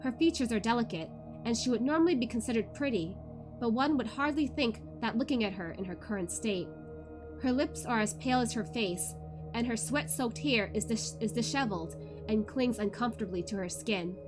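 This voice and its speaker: A woman hosting a read-along livestream in an informal, expressive way, with music in the background. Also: a little high, fairly quick. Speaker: VTuber host of a book read-along. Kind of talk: casual chatty livestream; expressive audiobook-style narration of a novel.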